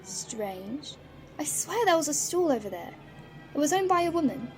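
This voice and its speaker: Curious young granddaughter, played by a woman: high voice, earnest, polite but outspoken, audio drama over soft music. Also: sing-song, normal speed. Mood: earnest, puzzled. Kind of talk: fan audio drama acting. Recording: music underneath.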